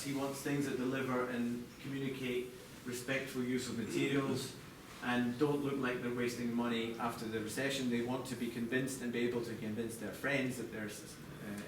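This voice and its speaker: A man presenting product design live to a group, explaining in a halting way with corporate phrasing. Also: medium pitch, flat delivery. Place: large echoey indoor venue, apparently a hotel. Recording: echoey noisy room, distant mic.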